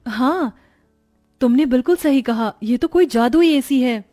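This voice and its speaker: A woman's voice: high voice, measured pace, warm tone, faint music behind. A woman playing a practical, earnest poor village mother in a cartoon story. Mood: amazed. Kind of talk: Hindi cartoon story acting.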